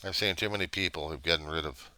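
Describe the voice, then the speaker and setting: A middle-aged American man with an extremely deep voice that still has a bright, forceful edge. He rambles casually in plain-spoken fashion, halting often as he thinks aloud. The sound is dry and close, with very little room. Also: no room sound. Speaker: vlogger.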